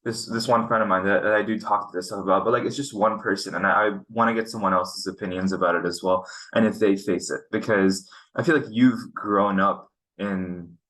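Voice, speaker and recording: A man's voice: fairly low pitch, fairly even intonation, a little fast, few pauses, a bit bright, rough recording. A young man from Pakistan hosting a casual podcast chat, earnest and frustrated, often restarting his sentences. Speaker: podcast host. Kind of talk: casual conversation.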